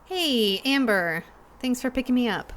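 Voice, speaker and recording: An actress plays a shaken young woman in an audio drama. She speaks slowly and haltingly, sighing and hesitating as she recounts her troubles in a natural, conversational way. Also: on the high side, expressive, a bit bright. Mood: weary. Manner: halting, hesitant, with sighs and pauses.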